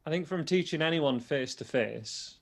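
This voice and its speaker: A man's voice: fairly high pitch, animated, normal speed, vocal fry. A young adult man with a toned-down Yorkshire accent, a guitar teacher talking casually in an interview and explaining things plainly with frequent restarts. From Yorkshire, England. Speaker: guitar teacher and online video creator.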